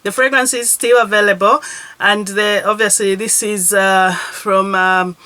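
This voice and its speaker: A woman's voice: mid-range voice, fairly even intonation, a little slow, bright, forceful tone. A woman reviewing her perfume collection to camera in a chatty, gushing, enthusiastic way. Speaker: fragrance vlogger. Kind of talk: casual product review to camera.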